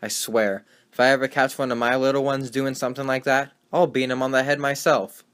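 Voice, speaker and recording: A man's voice: medium pitch, level tone, fairly quick, fairly bright tone, smooth, close to an everyday voice. A man playing a skeptical, wisecracking 1920s radio sports host, with folksy period slang and a sardonic manner.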